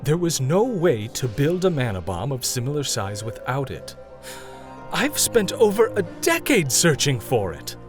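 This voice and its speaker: A man's voice: medium pitch, wildly swooping pitch, unhurried, music in the background. A man playing a proud, defensive blood elf archmage in an audio drama, haughty and formal in speech. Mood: urgent.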